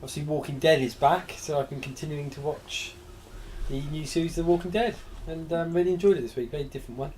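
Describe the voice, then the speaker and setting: A man co-hosting a casual podcast chat, his voice a bit throaty and gravelly today, relaxed with hesitant ums. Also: on the high side, fast, slight background noise. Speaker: podcast co-host.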